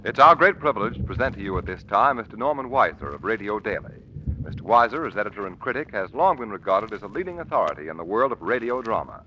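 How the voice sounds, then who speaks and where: A man's voice: lowish voice, big pitch swings, fast. A man announcing in a formal, polished, emphatic 1940s radio style from a script. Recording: muffled 1940s radio broadcast, music behind.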